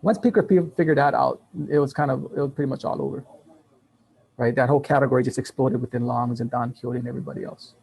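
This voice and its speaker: A fifty-year-old man whose first language is Taiwanese, talking as a guest in a relaxed interview. His voice is dark and husky with a gravelly edge. He tells stories with animated energy, restarting phrases and laughing as he goes. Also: mid-range voice, fast, poor audio. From Taiwan and Hawaii. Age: 50s. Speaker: guest, business owner. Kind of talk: casual interview talk.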